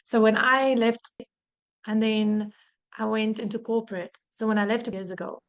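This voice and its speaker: A woman's voice: on the high side, fairly even intonation, moderate pace. A young woman with a South African accent, chatting casually as a podcast guest in a blunt, frank, sweary manner. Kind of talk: casual chat with a friend.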